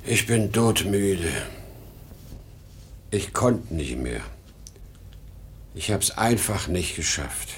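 An ageing travelling salesman for an old radio play, low-voiced and worn out. His delivery swings widely between weary rambling and proud boasting. A noticeable hiss lies over the vintage recording. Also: big pitch swings, drawn-out words, pauses often, heavily acted. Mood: exhausted, agitated. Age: 60s. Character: exhausted, rambling travelling salesman past sixty, proud and erratic. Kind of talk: 1950s German radio drama acting.

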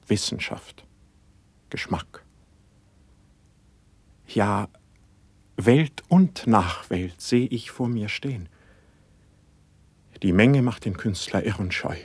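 An actor playing a humble, fervent young court poet in a classical radio verse drama, declaiming solemnly with deep feeling. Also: male voice, darkish tone, slightly rough, no room sound, heavily acted. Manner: solemn, impassioned, measured declamation.

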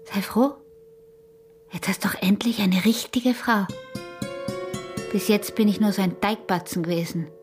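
Woman acting scornful austrian smuggler's wife, sly and bossy, radio play, mid-pitched voice. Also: unhurried, long pauses, soft background music, close to an everyday voice. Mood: triumphant, mocking. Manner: taunting, commanding. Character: defiant, seductive smuggler's wife, scornful and newly self-assured.

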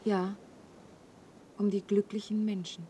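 An actress playing a pensive, troubled young woman in an intimate German radio drama, hushed and wistful. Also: medium pitch, level tone, takes it slowly, slight background noise, natural voice. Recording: faint background ambience.

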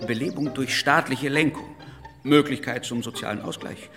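Radio play: male actor as civilian reformer and jurist, mid-pitched, formal, politely persistent and earnest, underscored with music. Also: moderate pace, fairly bright tone, close to an everyday voice. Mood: firm, earnest. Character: earnest, dutiful civilian jurist, reform-minded state councillor. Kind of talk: German radio play acting.

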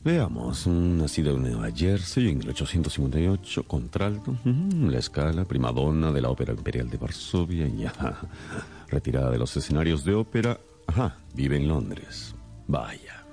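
Brilliant detective for radio drama: very animated low voice, incisive, wry, musing aloud. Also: male voice, normal speed, faint music behind, full character voice. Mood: musing, shrewd. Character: brilliant, inquisitive male detective, brisk and wry.

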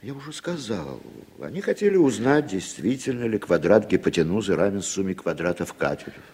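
A man's voice: on the low side, big pitch swings, fairly quick, darkish tone, breathy edge. A man acting a cowardly, hard-drinking playwright in a 1960s Soviet radio drama, theatrical, sarcastic and full of self-contempt. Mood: sullen, sarcastic. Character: cowardly, bitter, hard-drinking male playwright turning informer. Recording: reverberant 1960s radio play recording.

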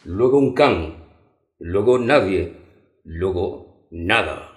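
A man's voice: low-pitched, expressive. A man narrating a comic verse tale in a dramatic, theatrical, declamatory manner. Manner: dramatic, declamatory, drawn-out phrasing. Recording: roomy echo, loud background noise.

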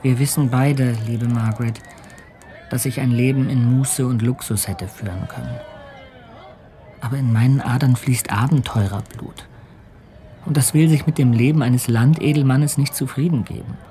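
An actor playing a determined, adventurous English gentleman captain in a radio drama, articulate and formal. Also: medium pitch, steady tone, normal speed, lightly acted. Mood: earnest, hopeful. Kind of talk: radio drama acting and letter narration.

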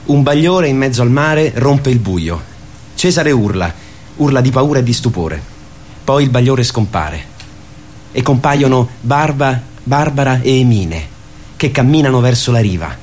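A man's voice: medium pitch, expressive, unhurried, a little mellow, a little hiss or hum, lightly acted. A man narrating a radio drama in short, scripted present-tense phrases. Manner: terse stage-direction phrasing.

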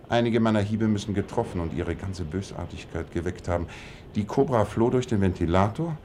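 A man acting in a radio play as a shrewd, composed detective, laying out deductions in a measured, self-assured way. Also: deep voice, warm tone, slight background noise. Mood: dryly reflective.

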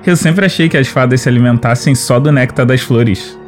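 A man's voice: mid-range voice, a little fast, faint music behind, natural voice. A man playing a kindly, curious warrior adventurer in a fantasy audio drama, with a friendly and courteous manner. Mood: friendly, amused.

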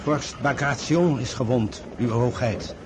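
An eager young aide acted by a man in a radio drama, urgent and respectful. His voice is mid-pitched, set against clear outdoor battle noise. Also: unhurried, close to an everyday voice. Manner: urgent, deferential. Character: eager young aide-de-camp to old commander.

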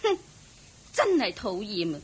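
A woman's voice: mid-range voice, wildly swooping pitch, measured pace, breathy edge, full character voice. An actress playing a sulky, scornful wife and mother who grumbles and snaps, in a Hong Kong Cantonese radio drama. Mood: cross, scolding. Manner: snappish, dismissive.

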